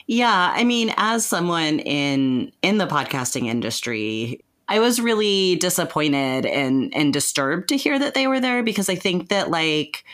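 A woman's voice: lowish voice, very animated, unhurried, few pauses, a bit bright. A woman hosting a podcast in a casual, conversational discussion style. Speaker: podcast host.

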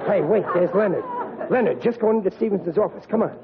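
A man's voice: high-pitched, fast talker, crowd noise. A man with an American accent playing a blustering, meddlesome middle-aged working-class dad in an old radio sitcom, emphatic and folksy. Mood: boastful. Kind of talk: 1940s radio sitcom acting. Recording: tinny, noisy old radio recording.

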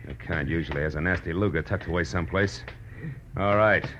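An actor playing a wry, tough American private detective in 1940s radio style, with a sardonic, slangy delivery. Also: male voice, sits low, a little fast, a bit bright, a little hiss or hum. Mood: mocking, tough. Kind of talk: 1940s radio acting, with first-person narration. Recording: old radio recording, faint music.

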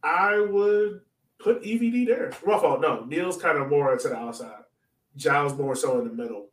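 A man's voice: on the high side, moderate pace. A man co-hosting a sports podcast, chatting casually about soccer in an informal, joking way. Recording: roomy echo, distant from mic.

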